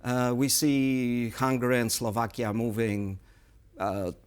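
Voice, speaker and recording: A man speaking as a guest analyst in a current affairs interview, explaining things in a measured, analytical way. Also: mid-range voice, level tone, smooth. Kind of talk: studio interview commentary.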